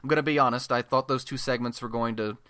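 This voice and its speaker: A man's voice: mid-range voice, steady tone, fast, bright, forceful tone, clear, resonant tone, no room sound, lightly acted. A man playing a chatty, self-deprecating young American amateur radio blogcaster in a superhero radio play, talking in an informal, wisecracking podcast style. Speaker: radio show host.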